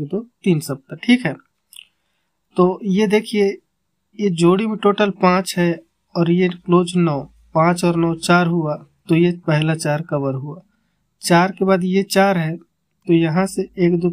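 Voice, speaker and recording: Man, high voice, monotone delivery, talks viewers through number sums step by step, counting aloud and repeating himself, recorded close at a desk. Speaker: number-game tipster. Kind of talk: explaining number chart calculations.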